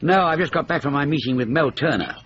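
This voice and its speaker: A man's voice: fairly high pitch, fast, lo-fi sound, noisy background, natural voice. A man acting a middle-aged comedy scriptwriter in a radio drama, exasperated and anxious, with a wry, querulous, stammering manner. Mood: flustered.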